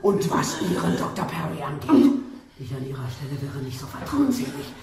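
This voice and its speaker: An actress playing a sinister, domineering, scornful nurse in a radio drama, with an ominous, commanding delivery. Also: female voice, extremely low pitch, sing-song, normal speed, a crowd in the background, full character voice. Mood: agitated, menacing. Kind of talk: German radio drama acting.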